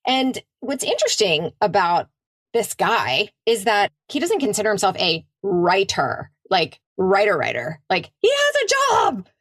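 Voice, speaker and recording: Animated woman co-host, swearing and excitable. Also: medium pitch, a little slow, no room sound, clean studio sound. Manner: excitable, swears freely, laughs. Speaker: podcast co-host. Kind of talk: casual chat.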